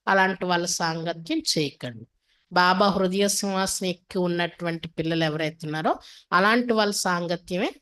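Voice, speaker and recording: A woman's voice: medium pitch, fairly bright tone. A woman teaching a spiritual class in a didactic way, posing questions and repeating refrains. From India. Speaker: spiritual teacher reading and explaining a daily discourse. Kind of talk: devotional sermon to a class.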